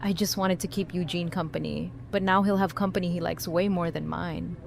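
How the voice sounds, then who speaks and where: A woman acting a wry, warm-hearted best friend in an audio drama, casual and joking. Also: fairly low pitch, fairly even intonation, brisk, creaky. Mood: teasing, kind.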